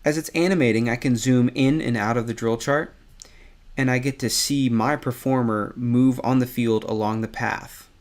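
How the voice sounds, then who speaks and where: A man with an average-pitched voice guides the listener through a software tutorial. He speaks conversationally, explaining each step as an instructor would. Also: fairly even intonation, normal speed.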